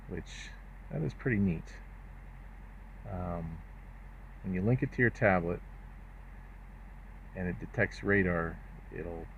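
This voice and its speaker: A man narrating a hands-on install in a casual, low-key way, muttering to himself as he works. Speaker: vlogger doing truck accessory installs. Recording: muffled lo-fi audio, faint noise, clicks.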